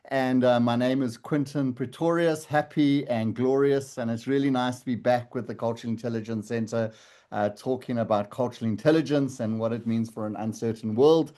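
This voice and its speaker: A man's voice: mid-range voice, moderate pace, warm tone. A jovial man from Africa, introducing himself on a webinar panel over a video call. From South Africa. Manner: jovial, self-deprecating.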